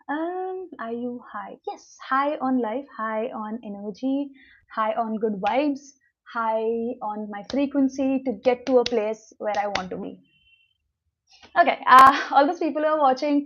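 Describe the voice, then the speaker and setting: A young woman with an Indian English accent, a Konkani native speaker, talks in a loose, rambling way on a motivational livestream and stops to answer viewer comments. Her voice sits a little high. The audio is dull and lo-fi. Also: a bit bright. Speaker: livestream host. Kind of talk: casual motivational livestream chat. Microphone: new mic, livestream.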